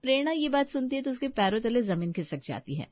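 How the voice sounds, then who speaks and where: A woman's voice: a little high, big pitch swings, quick pace, dull audio. A woman storyteller reading scripted narration of a moral story.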